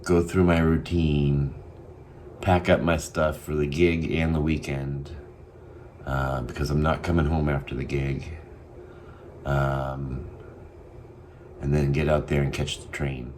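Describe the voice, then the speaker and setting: A man from Massachusetts with a Boston accent, talking to camera in a casual video diary, halting and candid with many pauses and ums. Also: extremely low pitch, flat delivery, darkish tone, a little hiss or hum. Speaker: vlogger.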